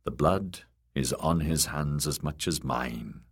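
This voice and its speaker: A man voicing a weary, bitter one-handed knight who speaks low, grim and clipped. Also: slow pace, breathy edge, exaggerated voice. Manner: low, grim and clipped, menacing when threatening.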